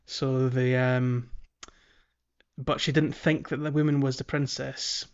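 A man's voice: medium pitch, level tone, slow, smooth. Man podcast host, slightly muffled audio. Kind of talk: casual gaming podcast chat.

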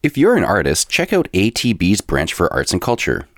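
A man with a very deep voice reads an advertisement in a smooth, upbeat promotional way. His pitch rises and falls in a lively way. The sound is dry and close, with no room echo. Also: normal speed. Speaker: ad announcer. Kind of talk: scripted ad read.

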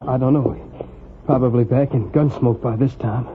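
An American actor playing an earnest, troubled young runaway of about twenty, speaking haltingly in old radio western style. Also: male voice, mid-range voice, fairly even intonation, lightly acted. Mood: weak, weary. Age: young adult. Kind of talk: 1940s radio western acting. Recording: muffled, hissy vintage radio recording.

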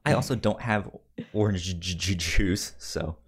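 A man's voice: low-pitched, unhurried, breathy edge. A man hosting a podcast in casual chat about movies, often stammering and repeating words as he gets into a sentence. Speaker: podcast host.

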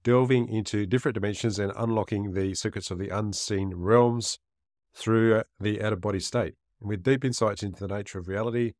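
A man's voice: fairly low pitch, a little mellow, no echo, clean studio sound. A man hosting a podcast interview, friendly and inquisitive. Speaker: podcast host.

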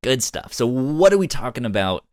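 Guy chatting on a review podcast, trips over words a lot, pitch moves around, dry sound. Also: sing-song, darkish tone, dry recording. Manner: halting, stammers and repeats words. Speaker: podcast co-host. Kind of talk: casual review podcast chat.

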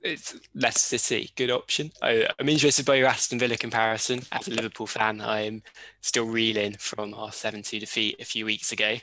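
A man's voice: lowish voice, level tone, brisk, pushed and bright, no echo, rough recording. A man hosting an interview, asking questions with polite curiosity. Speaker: interviewer, host. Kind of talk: remote interview.

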